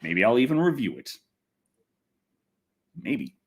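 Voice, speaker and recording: A man's voice: medium pitch, wildly swooping pitch, slow pace, husky. A man hosting a casual livestream, chatting to viewers in a loose, rambling way with some swearing. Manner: rambling, loose, expletive-laden. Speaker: livestream host.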